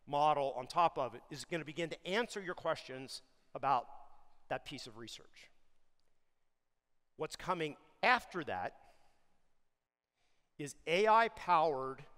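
A middle-aged man delivering an energetic, emphatic conference keynote on a large stage, pressing hard on key words as his pace rises and falls. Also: a little high. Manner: energetic, emphatic, speeds up and slows down for stress. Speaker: keynote speaker for an industry association. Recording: long hall reverb of a big venue.

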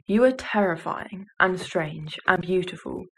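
Young woman, fairly low voice, poetry recitation, serious, measured delivery, bedroom recording. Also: a little hiss or hum, rough recording. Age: teenager or young adult. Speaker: student poetry reader.

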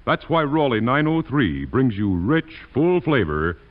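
A man's voice: mid-range voice, very animated, a little slow. A man as commercial announcer, reading a 1940s radio advertisement. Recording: thin phone-like 1940s radio recording.

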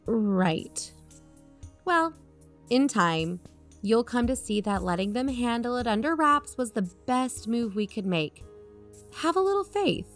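A woman's voice: fairly high pitch, lively intonation, slow pace, soft background music. A woman playing an assured podcast investigator in a conversational audio drama, reasoning and probing persuasively. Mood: reassuring. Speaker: fictional podcast co-host.

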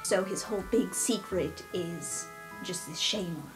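A woman's voice: on the low side, a little slow, rough recording. A woman in a tabletop roleplay, thinking aloud and improvising in casual conversation. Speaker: tabletop game player. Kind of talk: casual improvised character brainstorming. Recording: music bed under the voice. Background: background music.

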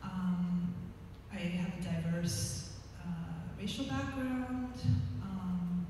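A middle-aged woman artist speaking softly and haltingly at a panel talk, into a handheld mic. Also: on the low side, fairly even intonation. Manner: soft-spoken, hesitant with many ums. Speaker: artist guest. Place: gallery talk room with audience. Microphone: handheld mic heard from far camera. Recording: echoey room from far off, noisy.

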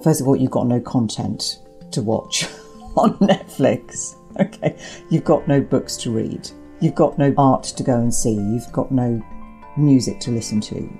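A woman's voice: extremely low pitch, a little slow, warm tone, faint music behind. A woman giving explanatory interview answers as an academic guest, articulate and emphatic, building points through repetition.

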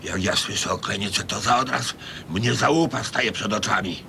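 An actor playing a stubborn, achy old husband in a radio drama, groaning and grumbling in a gravelly voice with a Podlasie accent. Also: male voice, moderate pace, pushed and bright. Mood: pained, stubborn. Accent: Podlasie, eastern Polish. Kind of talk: radio drama acting in rural dialect. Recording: rumbly, noisy radio recording.

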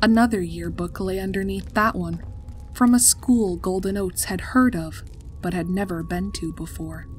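A woman's voice: mid-range voice, fairly even intonation, normal speed. A woman reading a scripted story as its narrator. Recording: music behind the voice. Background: background music.

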